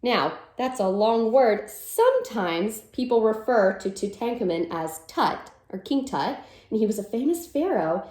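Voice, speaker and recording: A woman teacher reads a children's picture book aloud with widely swinging, animated intonation. Her tone is soft-edged and mellow, sing-song on verses and plain when explaining. The room around her is noticeably echoey. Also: on the high side, measured pace. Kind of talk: read-aloud storytime with simple explanations.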